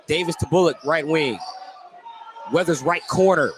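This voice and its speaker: A male radio announcer with a fairly high voice calls a basketball game live. He describes the action in short, clipped phrases and gets excited on big plays. The noisy ambience of an indoor gym sits clearly behind him. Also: moderate pace. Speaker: play-by-play radio sports announcer. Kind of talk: live basketball play-by-play commentary.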